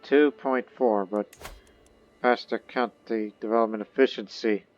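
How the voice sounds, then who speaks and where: A man rambling through his gameplay commentary, thinking aloud and reading game text as he goes. His voice sits at an ordinary middle pitch. The audio is a little dull, with soft music underneath. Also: steady tone, a little slow. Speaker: gaming video host.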